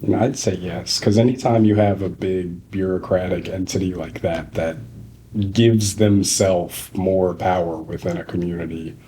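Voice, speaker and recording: Deep, mellow young man. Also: steady tone, a little slow, a little hiss or hum, low-quality recording. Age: 20s. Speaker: interviewee, student. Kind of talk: casual opinion interview.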